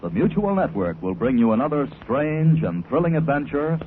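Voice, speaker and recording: An American man announcing on 1940s radio, reading a scripted pitch in a polished, persuasive way. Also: very animated, normal speed, a little hiss or hum. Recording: tinny 1940s radio broadcast.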